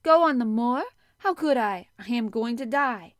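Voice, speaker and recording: A fretful young boy from a storybook, acted out by a woman reader. He sounds imperious and resentful, restless and quick to demand answers, in a very dry recording. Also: mid-range voice, animated, no room sound, natural voice. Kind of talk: audiobook character acting.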